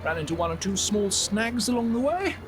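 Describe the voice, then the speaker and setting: An actor playing a polite, hapless young English gentleman adventurer in a cartoon game, prim and apologetic. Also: high voice, very animated, brisk. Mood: polite, sheepish. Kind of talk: scripted cartoon game acting.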